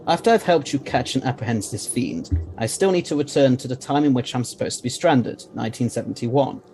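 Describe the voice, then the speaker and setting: A man playing an eccentric, confident time-travelling gentleman scientist in an audio drama, courteous and explanatory, with old-fashioned phrasing. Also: mid-range voice, a little fast, slight background noise, lightly acted, low-quality recording.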